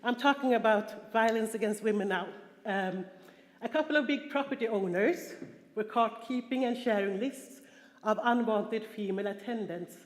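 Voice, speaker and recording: A woman from Sweden with a Swedish accent, giving an earnest, halting personal talk at a conference. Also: on the high side. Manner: earnest, halting, frequent restarts and fillers. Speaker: conference speaker. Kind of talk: personal testimony talk with slides. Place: large conference hall. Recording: echoey hall, long reverb.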